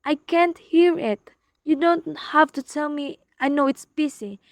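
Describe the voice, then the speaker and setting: High-voiced, nervous, demanding bedridden woman, acted out by a woman. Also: measured pace, gravelly, dry recording, studio quality. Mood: fretful. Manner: agitated, imperious, complaining. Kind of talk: melodramatic radio play acting.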